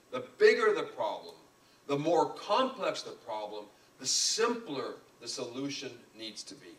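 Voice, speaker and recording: A man's voice: medium pitch, fairly bright tone. A man giving an emphatic, folksy motivational business talk, repeating phrases for effect. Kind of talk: live motivational seminar talk. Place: room with a live audience. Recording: roomy echo, distant microphone.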